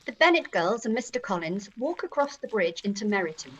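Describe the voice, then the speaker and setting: Medium-pitched woman narrator. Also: sing-song, a little fast, a bit bright, husky, dull audio. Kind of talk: scripted narration, reading stage directions.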